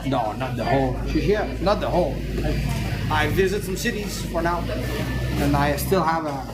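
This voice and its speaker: A man's voice: on the high side, normal speed, heavy background noise. A man from Quebec whose first language is French, a live streamer in a friendly, casual street chat who sometimes searches for his words. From Quebec, Canada. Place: busy city street at night. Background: loud crowd.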